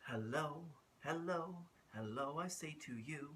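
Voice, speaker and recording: A man with a fairly high voice reads a picture book to small children. He takes his time, stretching words out and dropping to whispers. His pitch swoops widely as he puts questions to his young listeners. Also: expressive, heavy background noise. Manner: drawn-out, asks the listeners questions, drops to whispers. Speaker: children's storytime host.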